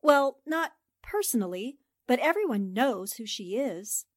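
An actress playing a polite, inquisitive newspaper reporter in the style of a 1940s radio drama. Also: female voice, on the high side, animated, unhurried, no room sound, studio quality. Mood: curious. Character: quick-witted woman newspaper reporter.

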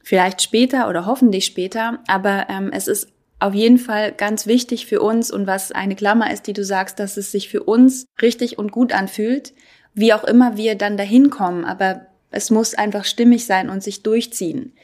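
A woman's voice: medium pitch, fairly even intonation, moderate pace, a little mellow. A woman who co-founded a hotel, talking earnestly as an interview guest, with some hesitation. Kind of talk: interview talk.

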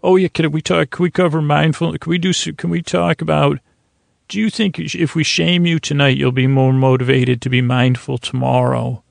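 A man voicing a nagging inner voice that guilt-trips at bedtime with halting, wheedling questions. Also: on the high side, flat delivery, vocal fry, no room sound.

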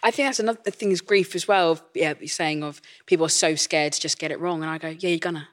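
A woman with a low-pitched voice hosts a live podcast on stage. She talks in a casual, chatty way and is quick to agree with her guest. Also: steady tone. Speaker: podcast host.

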